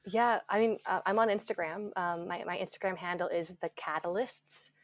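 A woman's voice: on the low side, normal speed, no room sound. A woman speaking as a podcast guest in a plain, matter-of-fact way. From Canada. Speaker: guest correspondent. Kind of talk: podcast interview.

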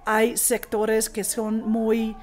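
A woman in her forties who grew up in Australia talks as an interview guest in a language she learned later. She speaks earnestly, with frequent fillers and restarts, and her voice sits a little high. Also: completely flat delivery, extremely slow, a little mellow, a little hiss or hum. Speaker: guest, impact investor. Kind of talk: interview conversation.

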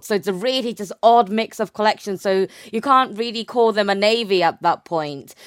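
Clear-voiced young woman explaining. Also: mid-range voice, dry recording, studio quality. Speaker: interview guest, naval historian. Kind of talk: conversational academic explanation.

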